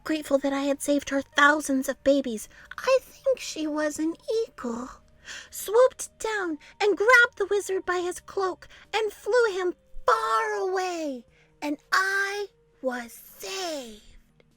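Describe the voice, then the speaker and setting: A woman's voice: extremely high pitch, slow pace, bright, forceful tone, dry recording, voice pushed up, heavily acted. A woman voicing a boastful, melodramatic female storybook animal who tells tales with theatrical exaggeration. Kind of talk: children's storybook character voice.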